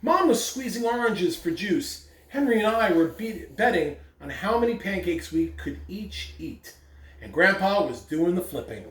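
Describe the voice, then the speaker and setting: A man's voice: high voice, lively intonation, a little slow. Echoey male school announcer and story reader. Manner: addresses children simply and directly. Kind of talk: school morning announcements and read-aloud to children. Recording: echoey room, distant mic, faint noise.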